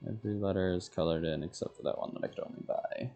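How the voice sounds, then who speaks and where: A man's voice: low voice, quick pace, gravelly, warm tone, soft background music. A man streaming a video game, thinking aloud in short murmured fragments as casual solo commentary. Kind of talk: casual solo gameplay commentary, muttering to himself.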